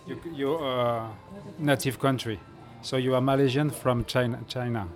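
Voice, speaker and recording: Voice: medium pitch, fairly even intonation, moderate pace. An interviewer in a casual chat who hesitates, repeats words and asks questions. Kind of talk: casual conversation. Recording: loud music behind the talk.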